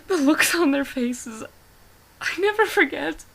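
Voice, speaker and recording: A woman playing a haunted, horrified witness who tells a gothic horror tale in a grave, breathy manner. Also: high voice, expressive, a little slow, a bit bright, exaggerated voice. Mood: haunted sorrow. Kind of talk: hushed gothic horror monologue.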